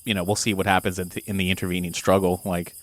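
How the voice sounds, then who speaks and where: A man's voice: deep voice, level tone, fast talker, no room sound. A man playing a tabletop role-playing game, improvising casually and thinking aloud in informal language.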